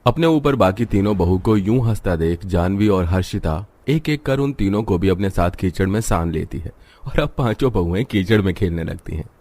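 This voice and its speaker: A man's voice: low voice, lively intonation, moderate pace, a little mellow. A man reading scripted narration for a moral story as its narrator.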